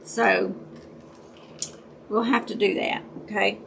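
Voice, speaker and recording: A woman with a raspy voice, chatting casually with her viewers during a crafting livestream and greeting them by name. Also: mid-range voice, fairly even intonation, slow pace, fairly bright tone, slight background noise. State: raspy voice, dry throat. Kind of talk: casual chat while crafting.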